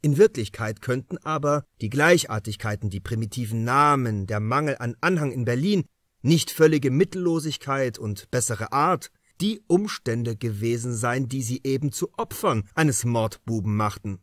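A man's voice: medium pitch, lively intonation, normal speed, dry recording, studio quality, lightly acted. A man voicing a confident, methodical police detective who lectures on his deductions in an audiobook. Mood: explaining, assured. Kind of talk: audiobook character dialogue.

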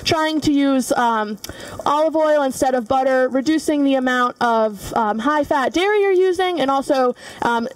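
A woman's voice: high-pitched, moderate pace, no room sound. A young woman giving a cooking demonstration in a large studio kitchen, explaining each step with frequent fillers. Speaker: culinary student demonstrator. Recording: voice from far off the mic.

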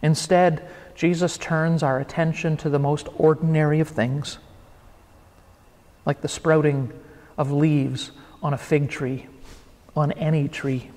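A middle-aged man preaching a sermon from a pulpit in a church, earnest and measured, building his points through repetition. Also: fairly high pitch, level tone, darkish tone, big-room sound. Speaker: pastor. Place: church sanctuary, speaking from a pulpit.

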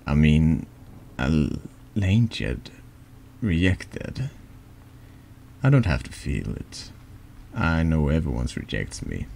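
A man with a very deep, gravelly voice reads an interactive horror story in an ASMR style. His delivery is slow and halting, tripping over the odd word. He sits close to the microphone with some ambient noise behind him. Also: very animated, a little mellow. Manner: halting, stumbles over words. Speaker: streamer reading a text adventure game aloud.

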